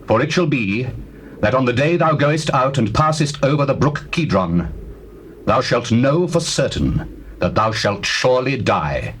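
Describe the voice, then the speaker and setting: A man playing King Solomon, a regal, devout ruler, with measured, formal declamation in a dramatized scripture reading on a noisy old cassette. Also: mid-range voice, big pitch swings, a bit bright. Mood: stern, accusing. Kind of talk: dramatized scripture reading, classical stage acting.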